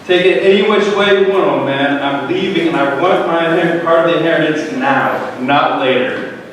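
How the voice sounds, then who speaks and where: A man plays a restless, defiant young son with a fairly high voice and a dismissive, sarcastic edge. It is stage drama, heard at a distance in a heavily echoing hall. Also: normal speed, a bit bright, lightly acted. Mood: impatient, defiant. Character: impatient, insolent, rebellious younger son. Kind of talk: dramatic reading of a parable. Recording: echoey hall, distant mic, faint noise.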